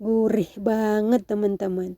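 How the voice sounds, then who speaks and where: Woman, nasal from a cold, unhurried, mellow tone, casual cooking voice-over. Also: medium pitch, flat delivery, no echo, clean studio sound. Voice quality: nasal, congested. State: has a cold. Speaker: home cooking video host.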